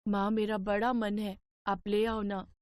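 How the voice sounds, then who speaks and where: A woman voicing an eager, sweet little schoolgirl in a cartoon story, pleading and excitable. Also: medium pitch, unhurried, slight background noise, close to an everyday voice.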